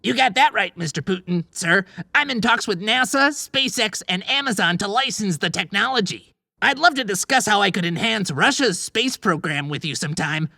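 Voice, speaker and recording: A man voicing an eager, cheeky little Christmas elf sidekick with a squeaky, chatty delivery in a dramatized audiobook. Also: lively intonation, normal speed, gravelly, pushed and bright, dry recording, studio quality, full character voice.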